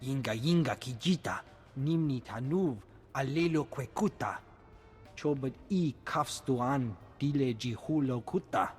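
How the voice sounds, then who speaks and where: Alien slave dealer for a game: mid-pitched, shady, invented tongue, reverberant with music. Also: male voice, measured pace, a little mellow, husky. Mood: shifty. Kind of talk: video game voice acting.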